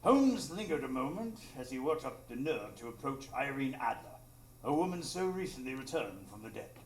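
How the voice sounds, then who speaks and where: A man playing an earnest gentleman narrator, telling the story in a literary manner in a live radio drama. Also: mid-range voice, big pitch swings, normal speed. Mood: reflective, dramatic. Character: earnest gentlemanly male doctor-narrator recounting adventures. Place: small improv theatre stage. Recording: roomy, noisy live stage recording.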